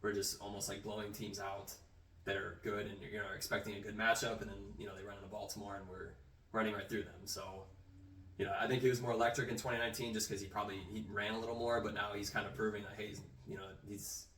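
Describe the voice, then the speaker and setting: A young man talking sports fast, rambling through his points with plenty of fillers. His voice sits lowish and sounds roomy, picked up from a distance. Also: level tone, fairly bright tone. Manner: quick, rambling, lots of "like" and "you know". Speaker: co-host. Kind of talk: casual sports chat.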